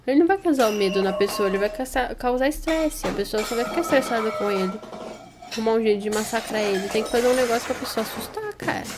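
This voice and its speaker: A woman's voice: a little high, fast talker, music in the background. A Brazilian woman chatting casually and playfully as she reacts to a horror video, joking and exaggerating. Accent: Brazilian Portuguese.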